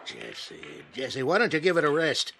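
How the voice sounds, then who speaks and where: A man's voice: on the high side, wildly swooping pitch, moderate pace, vocal fry, sharp tone, slight background noise, exaggerated voice. An actor playing a fatherly, world-weary older pool hall owner in a radio drama. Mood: chiding, weary.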